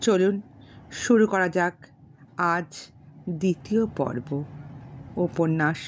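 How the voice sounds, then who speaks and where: A woman narrating a classic novel as a storyteller, formal and measured, pausing between short phrases. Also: lowish voice, slight background noise. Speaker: storyteller and channel host. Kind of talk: audiobook narration of a classic novel with spoken introduction.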